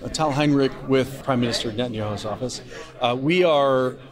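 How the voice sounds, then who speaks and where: A man interviewing a guest, asking plain, unhurried questions. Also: medium pitch.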